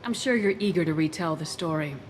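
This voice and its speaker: A woman playing a composed, direct female military commander in a video game, speaking in a measured, matter-of-fact way. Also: deep voice, background noise, lightly acted. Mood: firm, dry. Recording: music behind the voice.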